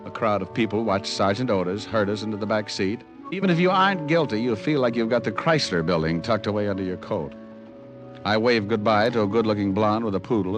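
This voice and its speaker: An actor playing a wisecracking hard-boiled private detective in old-time radio noir style, with wry, deadpan delivery. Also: male voice, on the low side, close to an everyday voice. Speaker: lead actor and narrator of a detective drama. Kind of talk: old-time radio noir acting, first-person narration. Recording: old radio drama with loud music underneath.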